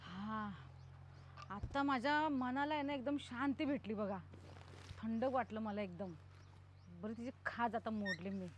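A high-pitched, domineering mother-in-law acted by a woman in a Marathi family drama. She speaks colloquial Maharashtrian Marathi, scolding and repeating herself insistently. There is faint noise behind her. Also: animated, a little fast, bright, forceful tone. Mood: smug relief. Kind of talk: amateur family drama acting.

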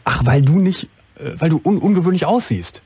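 A middle-aged man hosting a late-night radio call-in, asking gentle, probing questions with soft affirmations. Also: fairly high pitch, animated, fairly quick, breathy edge, no room sound. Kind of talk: calm empathetic phone-in talk. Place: radio studio. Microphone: studio mic, wearing headphones.

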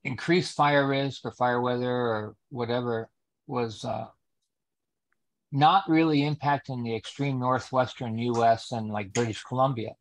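A man asks a question from the audience on a video call. His pitch is middling and his phrasing is casual and conversational. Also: fairly even intonation, a little slow, low-quality recording.

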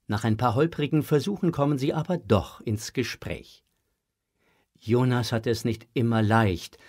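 Guy reading the narration for a kids' audio play, mid-pitched voice. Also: darkish tone. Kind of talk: scripted children's audio drama narration.

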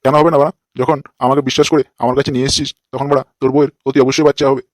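A man plays a lecherous fake sadhu in a comic cartoon. He rattles on extremely fast at a middling pitch, brash and foul-mouthed, scolding everyone in a dry, close recording. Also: flat delivery, no room sound, natural voice.

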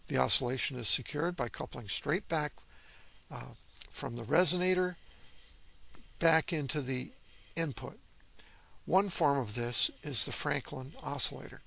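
A man with a heavily creaky, bright voice delivers scripted technical narration. The voice is husky at the edges, and he explains step by step in a measured, didactic way. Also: mid-range voice. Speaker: electronics instructor.

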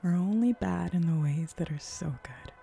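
Flirty female hellhound played by a woman for ASMR roleplay: eager, teasing, mellow, gravelly fry. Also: lowish voice, moderate pace, faint music behind. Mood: curious, flirty. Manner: teasing, sultry, with breathy whispers. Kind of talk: roleplay ASMR audio drama.

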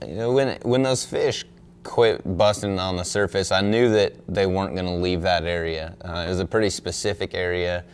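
Deep-voiced young man at a press conference. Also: moderate pace, clear, resonant tone. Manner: relaxed, thoughtful, many fillers like "you know" and "um". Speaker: pro angler at a press conference. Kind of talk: post-game press conference answers. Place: indoor press room in front of a backdrop. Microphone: desk microphone on a stand.

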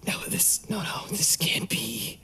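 A man's voice: on the high side, sing-song, slow, bright, forceful tone, in a whisper, exaggerated voice. An actor playing a terrified man who begs as his victim returns to haunt him. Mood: panicked. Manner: panicked, pleading.

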